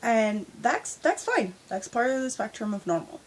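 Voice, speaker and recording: A young woman talks into a phone she holds at arm's length while lying in bed at home. Her voice sits a little high and has a husky edge, with a stuffy nose from a cold. She chats candidly and fills her talk with easy fillers. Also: very animated, moderate pace. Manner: chatty and candid, with frequent fillers. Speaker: vlogger. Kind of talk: casual storytime vlog. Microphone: handheld phone at arm's length.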